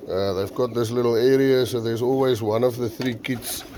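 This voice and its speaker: A man's voice: medium pitch, fairly even intonation, normal speed, warm tone. A man with a South African accent, a native Afrikaans speaker, explains things off the cuff in a casual vlog while tending birds. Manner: unscripted, explanatory. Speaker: pigeon keeper presenting his own video. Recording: noisy, birds cooing nearby. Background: pigeons cooing, clear background noise.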